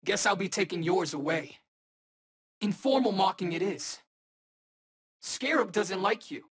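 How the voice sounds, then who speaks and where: An actor playing a cocky, eager young rookie superhero in a video game, bantering in casual, wisecracking slang. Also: male voice, high-pitched, animated, unhurried, slightly rough, exaggerated voice. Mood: confident, taunting. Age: young adult.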